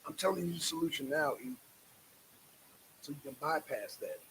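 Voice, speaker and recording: A man's voice: a little high, moderate pace, a bit bright, a little hiss or hum, rough recording. A man hosting a casual live stream, speaking in a repetitive, emphatic and exasperated way.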